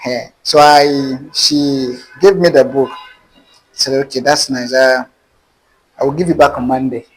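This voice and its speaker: A man's voice: medium pitch, unhurried, husky, low-quality recording. A man with a Nigerian accent, a guest animatedly telling a personal dating story and acting out the dialogue. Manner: animated, acts out quoted dialogue. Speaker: interview guest. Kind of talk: casual storytelling.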